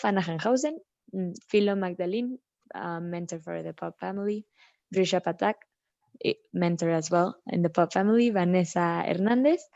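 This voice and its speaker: A woman hosting an online workshop, friendly and organized, pausing often with fillers. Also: on the low side, mellow, no room sound. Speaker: workshop host and mentor. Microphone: video call. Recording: dull video call audio.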